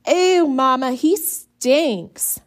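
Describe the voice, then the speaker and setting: A woman voicing a whiny, shrill young sister who complains loudly. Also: expressive, very slow. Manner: whining, high and loud.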